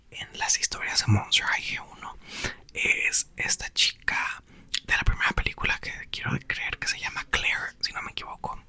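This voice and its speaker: Man whispering a book reading. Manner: soft unvoiced whisper throughout. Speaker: ASMR reader.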